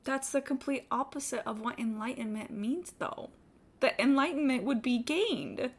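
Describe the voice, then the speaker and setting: Casual, sweary woman streamer with highish voice. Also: expressive, normal speed, breathy edge. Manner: blunt, sweary, informal. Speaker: video game live streamer. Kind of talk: casual reacting chat while gaming.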